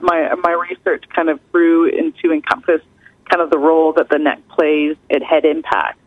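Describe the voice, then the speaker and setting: A woman's voice: low-pitched, breathy edge, no room sound. A woman in her thirties with a Canadian accent, a research scientist chatting as a guest, filling her talk with "kind of" and "um". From Canada, British Columbia. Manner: chatty, many fillers like kind of and um. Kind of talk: casual radio interview. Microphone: phone call. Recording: tinny phone line, dry.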